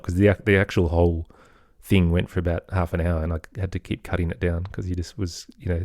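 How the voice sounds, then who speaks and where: Middle-aged male podcast guest, very low register, close dry sound, hesitant and conversational. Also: darkish tone, no echo. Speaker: podcast guest, audio storyteller and producer. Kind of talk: casual interview conversation.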